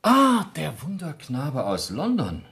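Spöttischer Wachsergeant, lebhafte Stimme. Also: male voice, on the high side, wildly swooping pitch, slow, creaky, a bit bright, exaggerated voice. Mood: mocking. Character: mocking male police desk sergeant. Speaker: actor in radio drama. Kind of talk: 1970s German radio play acting.

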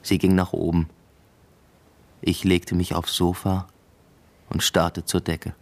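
A man's voice: low-pitched, flat delivery, takes it slowly, gravelly, heavily acted. An actor playing a brooding first-person narrator in a radio drama, telling of his collapsing life in a subdued, matter-of-fact, introspective way. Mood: subdued, reflective.

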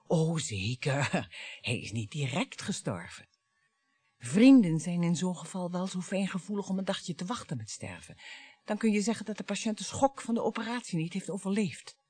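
A bitter wife and grieving mother in a radio drama. Her delivery is dramatic and taunting, with halting phrases and big swings in pitch, recorded dry and close. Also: darkish tone, slightly rough, no echo. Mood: bitter, sardonic. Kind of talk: Dutch radio play acting.

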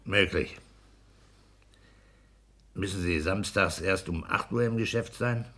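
An actor playing a smug, pedantic clerk and husband in a 1960s German radio play, blunt and domineering. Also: male voice, takes it slowly, bright, forceful tone, heavily acted. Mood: mocking, confident. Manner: exacting, domineering, booming bursts of mockery.

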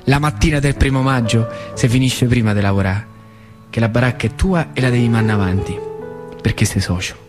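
An actor playing a plain-spoken factory worker who reminisces in a theatrical monologue, with a rural central Italian accent and colloquial, repetitive phrasing. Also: male voice, mid-range voice, brisk, music in the background, natural voice. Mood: wry, matter-of-fact. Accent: central Italian, rural Lazio. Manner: colloquial, repetitive, dwelling on words. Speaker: stage actor in a solo narrative play.

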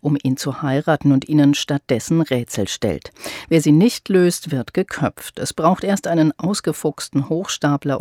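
A man's voice: fairly high pitch, dark tone, no echo, studio quality. A man reading a scripted introduction as a radio presenter.

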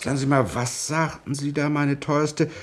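A man's voice: a little high, steady tone, moderate pace, husky. A man playing a dry, reluctant schemer in a comic radio play, muttering and resigned. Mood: scheming. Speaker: radio drama actor. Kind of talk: comedic radio play acting.

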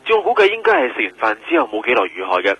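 A man's voice: lowish voice, big pitch swings, very fast, bright, forceful tone, highs cut off, faint music behind. A man with a Hong Kong accent playing a matter-of-fact, helpful forensic examiner in a radio drama. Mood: businesslike. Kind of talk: Cantonese radio drama acting.

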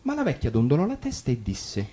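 Expressive male fairy-tale reader. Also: medium pitch, brisk, a little hiss or hum. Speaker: narrator. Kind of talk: radio fairy-tale reading. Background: guitar music.

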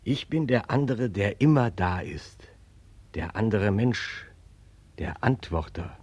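An actor playing a mysterious, persistent male inner voice, incantatory, deliberate and probing, in 1940s radio drama style. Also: on the low side, animated, darkish tone, husky, no room sound, heavily acted. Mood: insistent.

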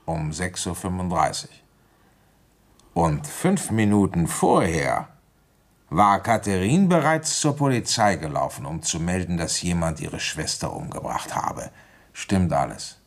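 Very deep, slow-spoken weary policeman. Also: very animated, exaggerated voice. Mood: calm, knowing. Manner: deliberate, conversational, wry. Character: weary, shrewd provincial French policeman recounting his old case. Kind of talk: German radio play, first-person narration and dialogue.

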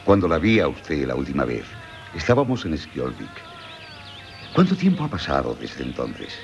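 Courteous professor, deep voice. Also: male voice, wildly swooping pitch, moderate pace, creaky, soft background music, full character voice. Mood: reminiscing. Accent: Castilian Spanish. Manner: polite, formal. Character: courteous, attentive male professor, old family friend. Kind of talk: 1970s Spanish radio drama acting. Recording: muffled 1970s radio broadcast.

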